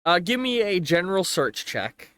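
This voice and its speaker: A man narrating a tabletop game as game master, improvising casually and haltingly as he searches for words. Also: high voice, sing-song, a bit bright, clear, resonant tone.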